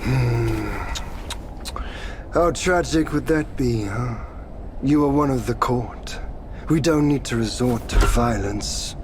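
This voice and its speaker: A man's voice: mid-range voice, takes it slowly, pauses often, lightly acted. An actor playing a smug, taunting male celestial warrior god in a game cutscene, drawing out his words. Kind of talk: video game cutscene acting. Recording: game cutscene with ambient noise.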